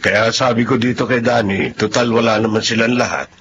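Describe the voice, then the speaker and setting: An actor with a Filipino accent playing a kindly, older, fatherly neighbour in a radio drama, gentle and sympathetic. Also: mid-range voice, steady tone, a little fast, natural voice. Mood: kindly concern. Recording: dull lo-fi audio with background noise.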